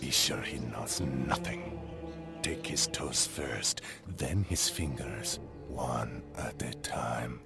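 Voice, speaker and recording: Casting: cruel game villain, extremely deep, slow and swooping delivery, menacing. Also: male voice, vocal fry, music in the background, exaggerated voice. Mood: cold menace. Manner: slow, threatening, clipped phrasing. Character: menacing, cruel villain leader of a secret circle, deep-voiced. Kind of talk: video game cutscene acting.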